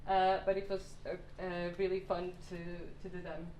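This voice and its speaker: A woman's voice: lowish voice, level tone, moderate pace, a little mellow. A woman in her thirties from Portugal, with a Portuguese accent, giving a guest talk. She speaks with frequent fillers and restarts. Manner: many fillers and restarts, slightly non-native phrasing. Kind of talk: hesitant lecture talk. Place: lecture room. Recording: roomy hall with faint noise.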